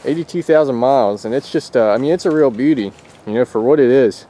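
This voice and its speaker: A man's voice: medium pitch, fast talker. A man casually explains hands-on work in a loose, rambling way, as the host of a how-to vlog recorded in and around a car. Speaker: host of a car radio install video. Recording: steady background noise, outdoor and vehicle ambience.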